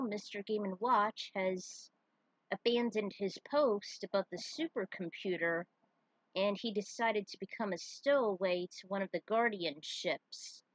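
A woman narrating her own fan fiction as a storyteller, with casual asides and a husky voice. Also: medium pitch, no room sound, low-quality recording.

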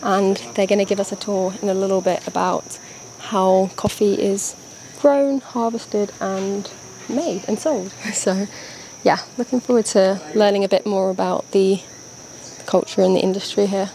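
A woman's voice: mid-range voice, fairly even intonation, measured pace, creaky, mellow, a little hiss or hum, rough recording. A young woman, chatting enthusiastically to camera in a travel vlog. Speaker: travel vlogger. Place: outdoors in a hilltop tropical garden. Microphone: handheld mic.

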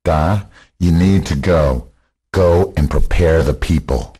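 A man acting a hard, grim rebel leader in a radio drama, solemn and declarative, with a husky voice. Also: slow, dark tone, exaggerated voice. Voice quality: husky, very deep.

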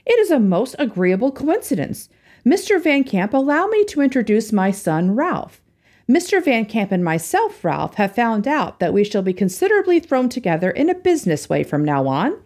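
A woman's voice: mid-range voice, big pitch swings, brisk, hardly any pauses, darkish tone. A woman voicing a genial, formal middle-aged businessman.

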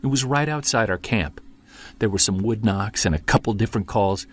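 A man's voice: fairly low pitch, brisk, natural voice. A man playing an earnest, wry, self-deprecating amateur monster hunter in a naturalistic audio drama. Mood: intense. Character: driven, self-deprecating male amateur Bigfoot investigator.

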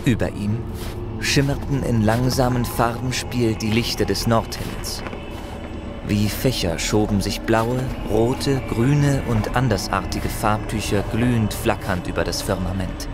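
Low-voiced male narrator over loud music. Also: a little slow. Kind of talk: dramatic scripted fantasy audio play narration.

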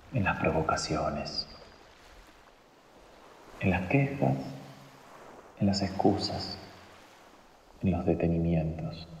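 A man narrating a guided meditation in phrase by phrase, solemn and deliberate, with long pauses. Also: deep voice. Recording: cavernous long reverb with some background noise.

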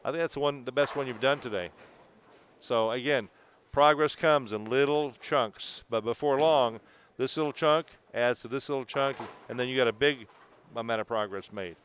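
A man's voice: medium pitch, moderate pace, a bit bright, muffled recording, slight background noise. A man coaching a student through video analysis in an encouraging, explanatory way, often checking in. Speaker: bowling coach.

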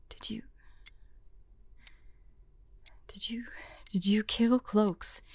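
A woman playing an anxious, forlorn young female hunter in an intimate audio roleplay, soft and breathy, often dropping to whispers. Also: mid-range voice, no room sound. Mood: distressed, pleading.

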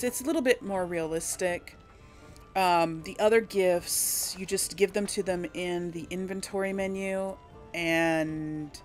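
Casual woman gamer thinking aloud over music. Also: on the low side. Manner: chatty, thinks aloud, addresses viewers. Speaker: video game player hosting a series. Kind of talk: casual let's play commentary. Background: game music.

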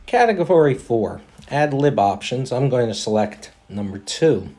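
An older American man reading for a voice-over demo in a measured, articulate way. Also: medium pitch, big pitch swings, a little mellow. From New York State, United States. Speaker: voice actor.

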